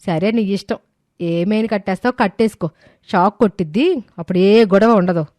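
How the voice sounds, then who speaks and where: A woman's voice: mid-range voice, fairly quick, darkish tone, dry recording, natural voice. A woman voicing a lazy, grumbling mother-in-law in a moral story, scolding and complaining in colloquial speech. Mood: defiant. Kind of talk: dramatised moral story acting. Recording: music behind the voice.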